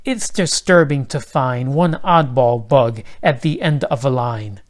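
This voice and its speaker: A man's voice: mid-range voice, a little slow, natural voice. A man voicing a fussy, haughty female insect queen with formal royal speech in a storybook. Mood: displeased.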